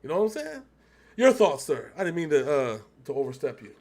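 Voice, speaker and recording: A man's voice: fairly high pitch, sing-song, brisk, a little mellow. A man co-hosting a reaction show, talking casually in a rambling, emphatic way with repetitions and free swearing. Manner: rambling, emphatic, repeats himself, swears freely. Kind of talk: casual music video reaction commentary.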